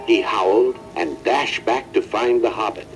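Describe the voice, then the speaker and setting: A man's voice: fairly low pitch, a little slow, poor audio. A man narrating a children's storybook record in a dramatic, scripted storytelling style. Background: faint background music.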